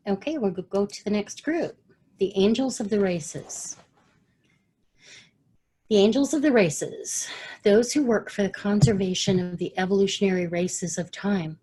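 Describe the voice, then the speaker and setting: A woman's voice: mid-range voice, mellow, husky. A woman presenting an online study webinar, reading passages steadily and moderating the discussion. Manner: reads passages steadily, then moderates the call.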